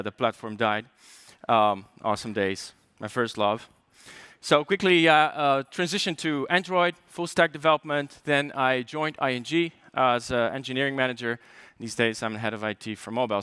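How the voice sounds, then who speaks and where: A man's voice: mid-range voice, bright, forceful tone, clear, resonant tone, dry recording. A man from Poland with a light Polish accent, giving a conference tech talk in a chatty way, with joking asides, fillers and restarts. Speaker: conference speaker.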